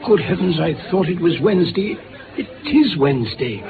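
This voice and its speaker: An actor playing a befuddled, self-pitying opium addict, halting and rambling, in an old-time radio drama. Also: male voice, high-pitched, expressive, gravelly. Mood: dazed, confused. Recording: tinny old radio recording with music.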